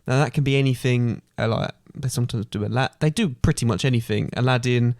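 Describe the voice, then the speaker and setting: A man's voice: medium pitch, quick pace, a little mellow, no room sound, clean studio sound. A British man chatting casually and teasingly as a podcast co-host. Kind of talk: casual chat.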